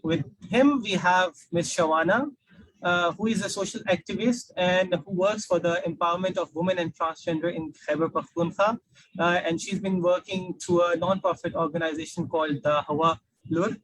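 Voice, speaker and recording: A man with a Pakistani accent, politely and somewhat haltingly moderating an informal live session as host. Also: high-pitched, fairly bright tone, breathy edge, no echo, poor audio. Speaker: panel host, alumni outreach officer.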